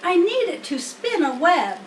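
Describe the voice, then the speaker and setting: A woman voicing an eager, boastful, chubby young male pig in a storybook, with an excitable, naive manner. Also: very high-pitched, a little slow, pitched above the performer's own voice, exaggerated voice. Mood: eager, asking. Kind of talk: storybook character voice. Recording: roomy, distant mic, faint noise.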